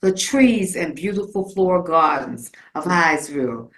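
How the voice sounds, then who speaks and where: A woman's voice: lowish voice, lively intonation, a little slow. A woman from rural Virginia reciting a scripted poetic monologue in a theatrical, lyrical manner at a community performance. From rural Virginia, United States. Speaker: community performer reading own piece. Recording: roomy, echoing live space.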